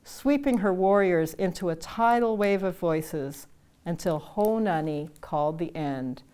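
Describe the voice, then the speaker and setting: Slow older woman reading a picture book. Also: on the low side, lively intonation, dark tone, smooth. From Canada. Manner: slow, measured reading with chanted lines. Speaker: storytime reader.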